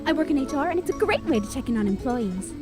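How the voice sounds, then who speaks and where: A woman's voice: high-pitched, expressive, fast. A woman playing a flirtatious, gushing HR worker with a coy, effusive manner in an audio drama. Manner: coy, smooth-talking, effusive. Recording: music under the voice.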